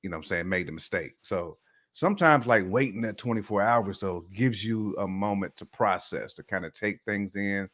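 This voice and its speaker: A man's voice: on the low side, normal speed, a bit bright. A man with a Southern American accent, co-hosting a casual chat and explaining his views conversationally. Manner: conversational, explains with "you know what I'm saying". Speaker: co-host.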